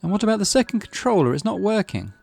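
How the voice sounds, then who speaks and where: A man's voice: high-pitched, sing-song, fast talker, vocal fry, a little mellow, soft background music, voice pushed up, full character voice. A man voicing an anxious, pouting little sister in a visual novel, childish and plaintive. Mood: doubtful, pouting. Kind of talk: visual novel character read-aloud.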